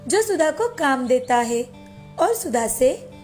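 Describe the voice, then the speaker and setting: A woman storyteller reads a scripted moral tale for children. Her voice sits high, and soft music plays behind the narration. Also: sing-song, slow. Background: soft background music.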